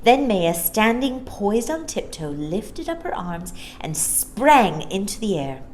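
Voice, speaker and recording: Female storyteller for children's book: animated, lowish voice, expressive reading, slight background noise. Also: takes it slowly.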